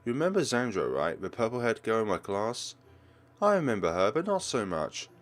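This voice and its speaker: A man's voice: fairly low pitch, big pitch swings, brisk. A man voicing an anxious, reserved teenage student in a visual novel, polite and hesitant.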